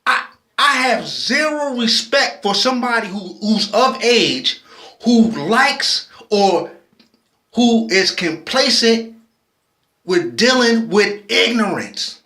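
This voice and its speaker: A late-forties man, talking in a very high, bright voice. He is impassioned and preachy, speaking slowly and often restarting his sentences. The sound carries a roomy echo. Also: animated. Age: 40s. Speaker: vlogger. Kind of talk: personal video commentary.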